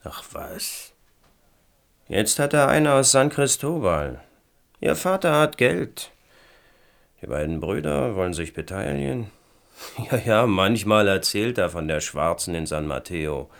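An actor playing a gruff, distrustful, embittered male recluse and inventor in a German radio play. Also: fairly low pitch, animated, takes it slowly, a bit bright, heavily acted. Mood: confiding, subdued. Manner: snappish, distrustful.

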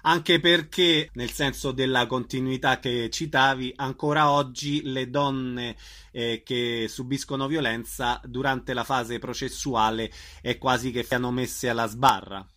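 A man's voice: mid-range voice, steady tone, measured pace, bright, forceful tone. A man hosting a radio interview, introducing the guest and prompting him with conversational questions. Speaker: radio host.

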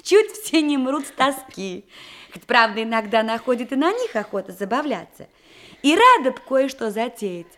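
A courteous, cheerful young maiden with a touch of playful cunning. Her high voice moves with lively melody through the theatrical verse of a radio comedy. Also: moderate pace. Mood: lively, confiding. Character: polite, cheerful young unmarried woman, playful and artful.

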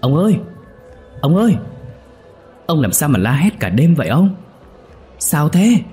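A man's voice: on the high side, very animated, unhurried, mellow, soft background music, voice pushed up. A man voicing an older village wife who nags but cares, in an audio story. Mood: alarmed.

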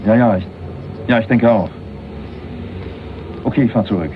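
An actor playing a scheming man making a halting, urgent telephone call in a radio crime drama. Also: on the low side, background noise, close to an everyday voice. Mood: impatient, urgent. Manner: halting, urgent phone talk. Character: man called Rocky, scheming, reporting by telephone. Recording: dull band-limited radio recording, music behind.

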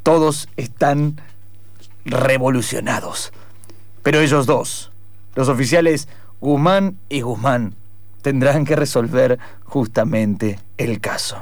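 Gravelly Argentine male radio-theatre narrator. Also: mid-range voice, dry recording. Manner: theatrical, deliberate. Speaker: narrator, presenter.

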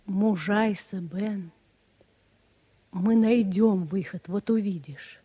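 An actress playing a devoted young sweetheart, speaking gently and softly to console her beloved in a radio drama. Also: mid-range voice, takes it slowly, breathy edge. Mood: tender. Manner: gentle, soft, encouraging. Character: tender, devoted woman Sue consoling her imprisoned beloved. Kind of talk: Soviet radio drama, letter voice-over. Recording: 1960s radio recording, soft violin behind.

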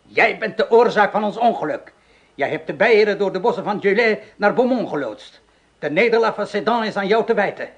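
A man's voice: high voice, animated, a little fast, dull audio. An actor playing a vengeful village man who stages a mock trial as its president, theatrical and menacing. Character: vengeful male village ringleader acting as judge. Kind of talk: Dutch radio drama acting.

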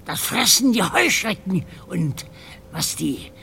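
Figure: man voicing a slow, gravelly, preaching man; rising and falling delivery. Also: high voice, very animated, sharp tone, a little hiss or hum, pitched above the performer's own voice, heavily acted. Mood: solemn.

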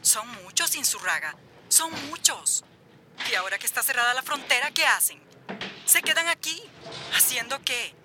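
A woman's voice: on the high side, lively intonation, moderate pace, background noise, lightly acted. A woman acting an indignant, argumentative small-town official in a radio drama. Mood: exasperated. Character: Narcisa, exasperated small-town official hostile to migrant disorder.

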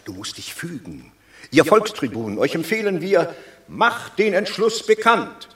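An authoritative Roman senator who speaks slowly and formally, with pitch that swings widely for emphasis. A roomy studio reverb surrounds his voice, as in an old radio play. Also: expressive, fairly bright tone. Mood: formal command. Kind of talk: classic stage radio drama.

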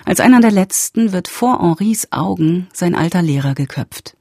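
A man giving a formal, measured scripted narration as the announcer of a radio drama. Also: high-pitched, animated, creaky, darkish tone, no echo, clean studio sound.

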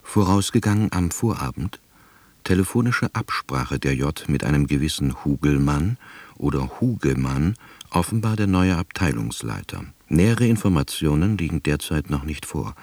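A middle-aged, pedantic first-person narrator in a scripted radio drama. His voice is deep and husky, delivered with dry, deadpan officiousness. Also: normal speed, exaggerated voice. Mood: officious, deadpan. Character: wry, pedantic, laid-off East Berlin man narrating.

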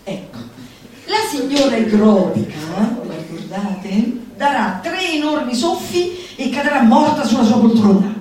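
A woman's voice: fairly high pitch, lively intonation, a little slow. A woman performing a live theatrical reading in a declamatory way, slipping into teasing, mock-schoolteacher banter with her audience. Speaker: stage performer reading a literary text. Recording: echoey hall, distant mic, audience present.

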